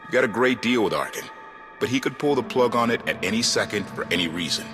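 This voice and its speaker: Roguish game sidekick, male actor: deep-pitched, wry, easygoing delivery, clear music bed. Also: animated, quick pace, close to an everyday voice. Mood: wry, persuasive. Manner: casual, wry, talks in clipped asides. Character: cocky, wry male rogue, former naval officer. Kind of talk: scripted video game cutscene acting. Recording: dramatic music behind.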